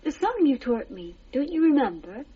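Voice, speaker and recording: A woman's voice: high voice, sing-song, dull audio. A woman in a radio drama playing a devoted, coy eighteenth-century servant girl of fifteen in a trance, speaking deferentially. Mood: teasing. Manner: deferential, slow, coquettish.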